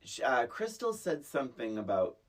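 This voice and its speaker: A woman's voice: a bass voice, very animated, normal speed. A middle-aged woman co-hosting a casual, comedic film recap, incredulous and emphatic, often breaking off mid-thought. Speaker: podcast co-host.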